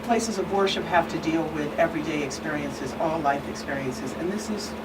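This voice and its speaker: A woman speaking as a guest expert in an earnest discussion, measured and emphatic, pausing between phrases. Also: low voice. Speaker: guest expert, reverend and attorney. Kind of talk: earnest talk show discussion. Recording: distant mic, clear background hum.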